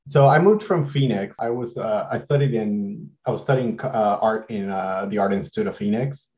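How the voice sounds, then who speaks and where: Man, thirties, from Mexico, low voice, hesitant fillers, muffled call audio. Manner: relaxed, halting, many fillers and restarts. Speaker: guest artist. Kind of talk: casual interview over video call. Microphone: video call.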